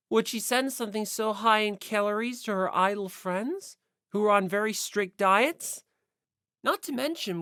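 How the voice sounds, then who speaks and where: A woman reading first-person story narration for an audiobook in an expressive style. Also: medium pitch, no echo, clean studio sound.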